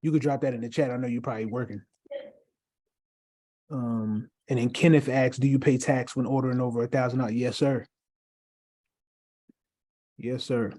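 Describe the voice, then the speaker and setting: A man co-hosting a casual live chat, upbeat and encouraging. His voice is warm and mellow, and he talks through plenty of ums and uhs. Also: mid-range voice, steady tone, normal speed, pauses often. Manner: upbeat, encouraging, many fillers. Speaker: co-host.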